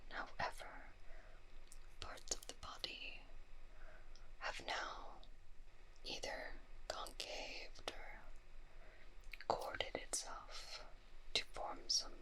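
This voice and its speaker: A woman performing an ASMR roleplay as a calm, fascinated doctor, measured and clinical in a soft breathy whisper. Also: rough recording. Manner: measured, clinical note-taking with murmured asides.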